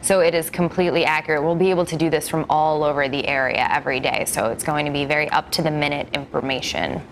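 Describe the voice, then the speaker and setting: Low-pitched woman reading live traffic updates. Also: fairly even intonation, fast talker. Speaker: traffic reporter. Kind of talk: live TV traffic report, chatty delivery.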